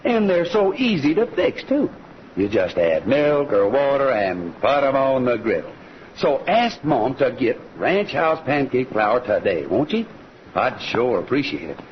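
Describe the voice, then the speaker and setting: Hearty, slow-talking American cowboy radio host. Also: male voice, mid-range voice, sing-song, slight background noise. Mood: hearty, folksy. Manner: folksy, hearty. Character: friendly singing cowboy ranch host pitching pancake flour. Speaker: host and pitchman. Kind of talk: 1940s radio western show announcing. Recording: dull lo-fi old radio recording. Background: music.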